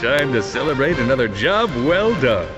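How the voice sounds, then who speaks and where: An actor playing a big, boastful, dim-witted superhero bully in a video game, blustering and mocking. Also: male voice, medium pitch, very animated, moderate pace, highs cut off, natural voice. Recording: music under the voice.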